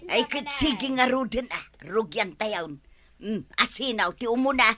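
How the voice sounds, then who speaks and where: A woman's voice: a little high, lively intonation, measured pace, sharp tone. A woman acting a talkative, complaining, penniless villager in an Ilocano radio comedy drama, with an Ilocano accent. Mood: eager.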